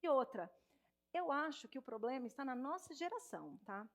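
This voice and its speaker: A woman in her thirties with a Minas Gerais accent, a speech therapist giving an animated stage talk straight to the audience in an auditorium. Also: sits high, brisk. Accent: Minas Gerais Portuguese. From Minas Gerais, Brazil. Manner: warm, animated, addresses audience directly.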